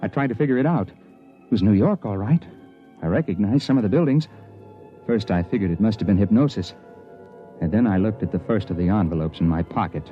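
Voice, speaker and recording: An actor playing a wary investigator in a 1950s radio drama, in a hard-boiled, uneasy manner. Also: male voice, on the low side, expressive, fairly quick, natural voice. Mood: tense narration. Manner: hard-boiled storytelling, uneasy protests when speaking. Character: wary, rattled male investigator narrating his own ordeal. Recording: muffled 1950s radio recording with music.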